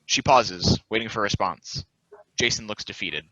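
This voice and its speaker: A man narrates a play's stage directions at a table read. His voice is fairly low and very bright and forceful. The recording is dry and somewhat lo-fi. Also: moderate pace, dry recording. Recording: dull, lo-fi close dry recording.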